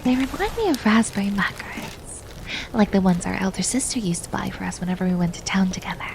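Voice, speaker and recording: A woman acts out a young maid sister in an ASMR roleplay, soft-spoken and ladylike. She teases and scolds playfully and gets flustered easily. She is close on the mic, with noticeable noise behind her. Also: mid-range voice, animated, fast talker, vocal fry. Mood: soft, fond. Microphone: close binaural ear microphone. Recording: noticeable background noise, binaural ASMR microphone.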